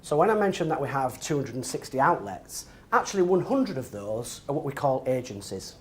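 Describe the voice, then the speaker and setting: Halting corporate presenter, a man. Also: mid-range voice, very animated. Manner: halting, many ums and repeated "and". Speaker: building society executive presenting to members.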